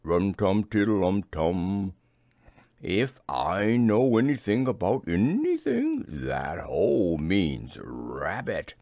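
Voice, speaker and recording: A man's voice: low voice, sing-song, slow pace, dark tone, dry recording, pitched below the performer's own voice, exaggerated voice. A man voicing a simple, friendly toy bear in a storybook, ponderous and earnest. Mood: pleased, musing.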